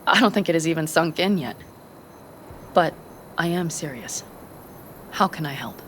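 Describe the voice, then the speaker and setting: A young nomad woman from a video game, with a lowish voice. She is blunt, earnest and fiercely loyal, phrasing things formally. There is clear ambient noise around her. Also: lively intonation, normal speed. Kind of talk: naturalistic video game acting.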